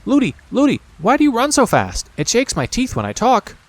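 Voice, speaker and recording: A man voicing a small, chattering princess girl who talks eagerly and high. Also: wildly swooping pitch, fairly quick, full character voice. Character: small frightened-curious little girl princess.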